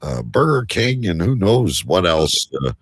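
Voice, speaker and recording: Super deep gravelly guy hosting a live show, halting and jokey, dry close sound. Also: big pitch swings, no echo. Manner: joking, teasing, halting. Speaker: host of a live online show.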